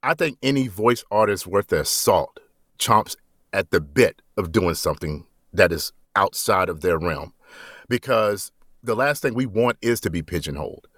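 A man who works as a voice actor, speaking as an interview guest in a relaxed way and often checking in with "you know.". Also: low-pitched, normal speed, no echo, clean studio sound. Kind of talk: conversational interview.

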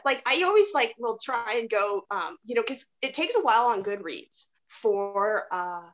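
A woman's voice: medium pitch, dull audio. A woman chatting casually as a podcast panelist, rambling along and peppering her sentences with "like". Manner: rambling, frequent "like". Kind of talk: casual chat.

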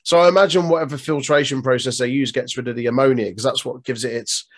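A man's voice: medium pitch, fairly even intonation, quick pace. A man co-hosting a podcast in casual chat, rambling and restarting sentences, swearing and laughing often. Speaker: podcast co-host.

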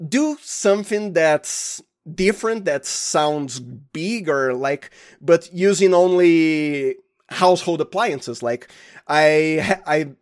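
Brazilian male guest, Portuguese native, slightly high voice, halting conversational delivery with frequent restarts. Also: animated, smooth. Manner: halting, restarts phrases often, chuckles. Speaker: sound designer, interview guest. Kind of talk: casual interview chat.

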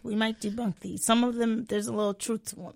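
A woman's voice: fairly high pitch, level tone, brisk, creaky, darkish tone, no room sound. A young woman co-hosting a casual chat, cutting in with dry, teasing remarks. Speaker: podcast co-host.

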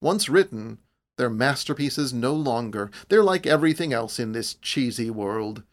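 A man's voice: medium pitch, animated, moderate pace, lightly acted. A man voicing an idle dreamer of about thirty in a storybook, wry, laid-back and world-weary. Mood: wry, resigned.

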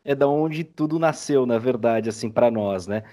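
A man's voice: medium pitch, moderate pace, warm tone. A man speaking as a guest in a casual interview, explaining things informally. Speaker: podcast guest, audio drama creator.

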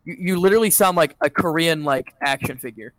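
A man's voice: on the high side, fairly quick, fairly bright tone, no echo, low-quality recording. A teenage boy co-hosting a casual podcast, joking and teasing his friends over a video call. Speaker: podcast co-host. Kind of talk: casual banter.

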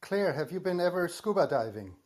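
A man's voice: high voice, big pitch swings, fairly quick, a little mellow. A man co-moderating an online panel over a video call, talking in an easygoing, rambling way.

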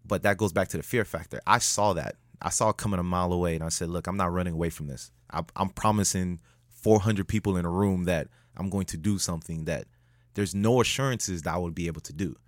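A man telling a story as a podcast guest, animated, jumping up in pitch when he jokes. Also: fast, no room sound, clean studio sound. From United States. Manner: animated, rises sharply in pitch when joking. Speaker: guest, public speaker.

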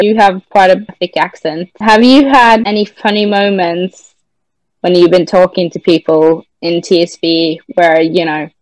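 A woman's voice: lowish voice, darkish tone, no room sound, rough recording. A Norwegian woman with a British-leaning accent with a Norwegian tinge, hosting a podcast in a chatty way with many fillers. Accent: Norwegian-tinged British English. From Norway. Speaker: podcast host. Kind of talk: casual chat.

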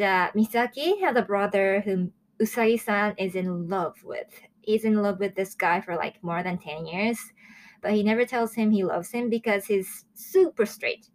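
A woman in her early twenties from Japan, hosting a casual solo review show in a chatty, excitable way. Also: medium pitch, poor audio. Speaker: host of a manga review show.

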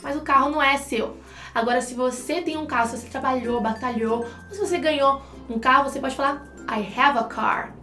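High-voiced Brazilian woman teaching English. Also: fairly quick, sharp tone, echoey room, soft background music. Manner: explanatory, addresses viewers directly, uses examples. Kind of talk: conversational language lesson for online video.

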